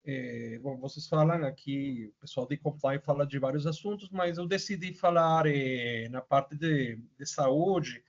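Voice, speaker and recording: A man's voice: medium pitch, unhurried, few pauses. Venezuelan-accented male presenter, casual and chatty with lots of fillers, dull lo-fi call audio. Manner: casual, many fillers and tag questions. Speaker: webinar presenter. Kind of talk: online webinar presentation. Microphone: video call. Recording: muffled lo-fi audio.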